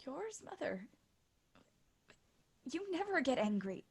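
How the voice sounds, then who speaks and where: A woman acting a passionate, remorseful teenage girl in an audio play, husky and breathy, earnest and emotional. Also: high-pitched, sing-song, slow pace, exaggerated voice. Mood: despairing.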